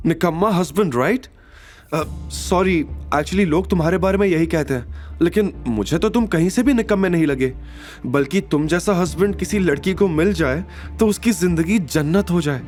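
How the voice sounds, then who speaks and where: A man's voice: a little high, moderate pace, darkish tone, soft background music, voice pushed up, close to an everyday voice. A man voicing an emotional, wronged young woman in an audio drama, pleading and bitter. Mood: curious, admiring.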